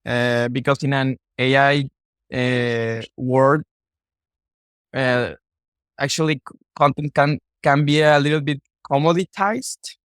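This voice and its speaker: A man from Mexico with a Mexican Spanish accent, a native Spanish speaker, talking casually as a podcast guest in halting, repetitive phrases. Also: medium pitch, steady tone, fairly bright tone, smooth, dry recording, studio quality. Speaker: podcast guest, content creator. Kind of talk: casual interview talk.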